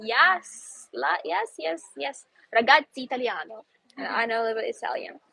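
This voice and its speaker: A woman's voice: fairly high pitch, lively intonation, normal speed, gravelly, pushed and bright, slightly rough, no room sound, poor audio. A young woman with a Ukrainian accent hosting a casual outdoor live stream, chatty and upbeat, sometimes searching for English words. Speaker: host, English teacher. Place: outdoors in a city square.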